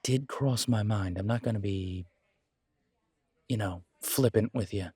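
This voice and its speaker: A man playing a wary, sardonic werewolf mechanic in an audio drama, with a husky voice and a dry, measured manner. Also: on the low side, dark tone, no echo. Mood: wary, resigned. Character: wary, sardonic male werewolf mechanic, blunt but polite. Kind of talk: audio roleplay drama dialogue.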